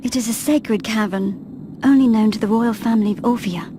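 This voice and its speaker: A woman's voice: a little high, normal speed, close to an everyday voice. An actress playing a gracious noblewoman in a fantasy video game, speaking in a formal, composed way. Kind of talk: dramatic video game cutscene acting. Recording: noisy game cutscene audio.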